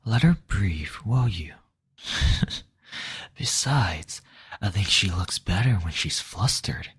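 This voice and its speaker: A man's voice: low-pitched, lively intonation, takes it slowly, a bit bright, full character voice. A man voicing a playful, flirtatious demon lord who coaxes and mocks in intimate roleplay audio. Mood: teasing, flirtatious. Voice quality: breathy, whispery. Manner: coaxing, flirtatious, mocking.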